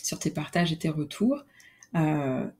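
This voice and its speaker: A woman hosting her own podcast alone, talking in a relaxed, reflective way with many fillers and restarts. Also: deep voice, measured pace. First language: French. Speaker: podcast host. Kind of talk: casual solo podcast monologue.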